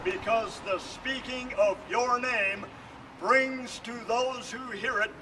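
Middle-aged man, high-pitched, earnest and emphatic speech to camera outdoors, clearly noisy sound. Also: steady tone, slow. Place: outdoors beside a building. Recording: distant mic, noisy outdoor video sound.

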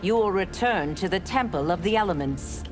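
A woman's voice: medium pitch, lively intonation, normal speed, natural voice. A woman voicing an earnest, righteous demon hunter in a video game, stern and formal. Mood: stern reproach. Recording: music playing behind.

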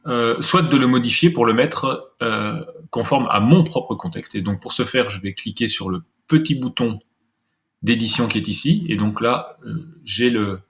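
A man's voice: mid-range voice, normal speed, a bit bright. A man presenting a screencast tutorial step by step, thinking aloud with some hesitation as he demonstrates.

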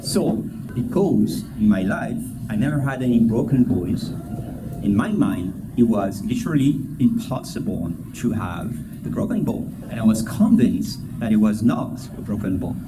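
A man's voice: lowish voice, big pitch swings, normal speed, background noise. A middle-aged man with a French accent gives an informal, joking talk on a conference stage, telling personal stories. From France. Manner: conversational, joking. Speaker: conference speaker, entrepreneur and endurance athlete. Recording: music bed over stage talk. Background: clear, loud music.

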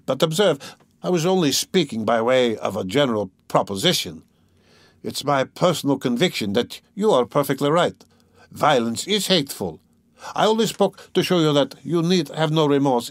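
A man's voice: medium pitch, no room sound, studio quality, pitched above the performer's own voice, lightly acted. A man voicing an obsessive, menacing tormentor in an audiobook, feverish, insinuating and emphatic. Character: obsessive, menacing male tormentor, pleading then coldly mocking. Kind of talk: dramatic audiobook character reading.

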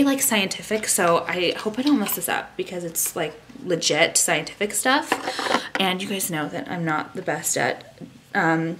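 A woman's voice: mid-range voice, fairly bright tone, slight background noise. A woman in her thirties chatting casually to camera in her bedroom, rambling and self-deprecating as she shows a skincare product. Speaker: vlogger. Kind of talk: casual vlog chat with product talk. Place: bedroom at home, indoors. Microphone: camera at arm's length.

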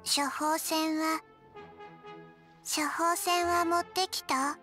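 A woman voicing a small, deadpan undead girl in an anime game, stiff and halting. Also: very high-pitched, music in the background, full character voice. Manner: flat, halting, refers to herself by name.

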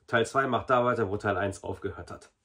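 Guy in his 40s doing a casual review vlog, husky voice, talks to viewers like pals. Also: fairly low pitch, fairly quick, a bit bright. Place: small indoor hobby room.

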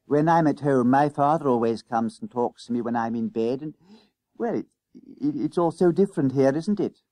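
A man's voice: medium pitch, moderate pace, mellow, no echo, lightly acted. Man as a timid, hesitant new schoolboy. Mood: nervous, homesick. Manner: hesitant, stammering.

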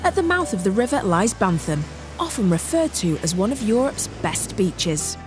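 A British woman narrating a polished voice-over with a bright, confident delivery. Her pitch moves in a lively, animated way. Faint music sits under her words. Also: moderate pace. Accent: British English. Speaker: narrator of property show.

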